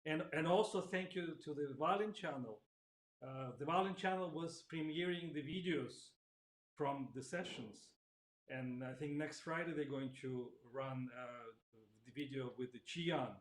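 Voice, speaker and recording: A man's voice: a little high, measured pace, roomy sound. A man joining a livestream as a guest, loose and laughing, with hesitant restarts. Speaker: guest who runs masterclasses. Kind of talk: casual livestream chat.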